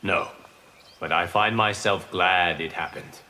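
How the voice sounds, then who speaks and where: Calm, slow-spoken fire god. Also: male voice, low voice, heavy background noise. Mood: measured counsel. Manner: measured, dignified, courteous. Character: calm, wise, lofty fire god advisor. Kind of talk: fighting game character acting.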